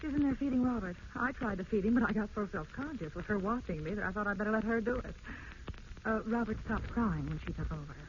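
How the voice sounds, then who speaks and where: A gentle, soft-hearted wife played by a woman talks with a hesitant, natural air and a middle-pitched voice. The style is old radio drama, dull in tone with noise behind it. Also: quick pace, lightly acted. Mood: hesitant, anxious. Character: gentle, wistful wife and hesitant would-be mother.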